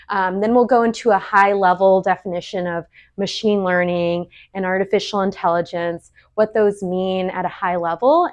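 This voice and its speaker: A young woman giving a relaxed presentation to a small group. She speaks at a middle pitch and keeps things chatty, with frequent fillers and self-corrections as she talks. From El Salvador, raised in Austin, Texas. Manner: chatty, with many fillers and restarts. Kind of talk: casual presentation talk.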